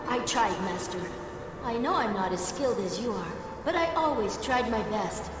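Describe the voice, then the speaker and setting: A woman's voice: a little high, expressive, moderate pace, lightly acted. A woman voicing a humble, eager young apprentice in a video game, earnest and unsure of their own skill. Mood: hurt, wistful. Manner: earnest, self-doubting. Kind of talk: scripted video game voice acting. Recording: echoey hall, distant, noisy ambience.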